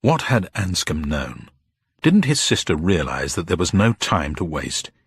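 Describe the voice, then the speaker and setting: Male narrator, husky, dry studio sound, scripted detective fiction, expressive delivery. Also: low-pitched, a little slow, no echo.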